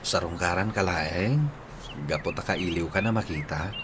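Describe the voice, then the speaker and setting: A man's voice: low-pitched, moderate pace, a bit bright, a little hiss or hum. A man with an Ilocano accent playing an eager suitor in a radio drama. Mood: wooing. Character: eager man courting his old sweetheart. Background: music.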